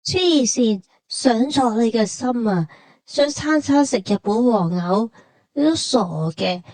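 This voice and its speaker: A woman's voice: fairly high pitch, animated, slow pace, a little mellow, dry recording, poor audio. A woman with a Hong Kong Cantonese accent narrating a travel vlog in casual, colloquial, matter-of-fact banter.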